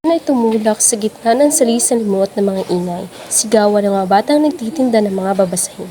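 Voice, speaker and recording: A woman's voice: medium pitch, brisk. A woman reading scripted story narration for a radio drama. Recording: music playing loudly behind. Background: loud music.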